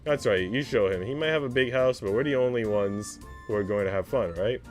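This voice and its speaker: A man voicing a calm, teasing grown-up in a visual novel, gently firm and playful with a child. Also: medium pitch, fairly quick, soft background music, natural voice. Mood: playful, encouraging.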